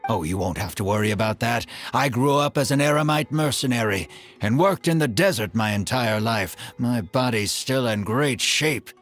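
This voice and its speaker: Man acting as an earnest, expressive older man. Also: medium pitch, normal speed, soft background music, close to an everyday voice. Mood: eager, pleading. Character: older male client and ex-mercenary, humble, earnest, evasive. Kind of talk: acted video game dialogue.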